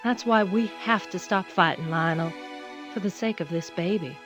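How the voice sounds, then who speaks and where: A woman's voice: on the low side, normal speed, over music, close to an everyday voice. Woman playing an earnest, pleading grown daughter. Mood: tender. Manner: earnest, emotional. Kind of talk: audio drama acting.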